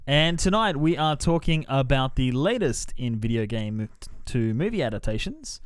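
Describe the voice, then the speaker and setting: A man's voice: mid-range voice, expressive, moderate pace, clear, resonant tone, no echo, studio quality. A man hosting a podcast, chatting through a film review in a conversational way. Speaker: podcast host.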